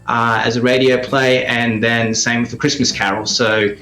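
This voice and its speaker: A man's voice: medium pitch, flat delivery, moderate pace, pushed and bright, faint music behind. Australian man talking in a roomy space. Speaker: radio play producer and performer, interview guest. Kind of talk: conversational interview answers.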